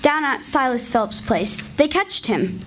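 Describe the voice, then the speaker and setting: A woman plays a gossipy country kid in old-time radio theatre. The voice is fairly high and lively, with faint noise behind. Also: unhurried. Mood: matter-of-fact, curious.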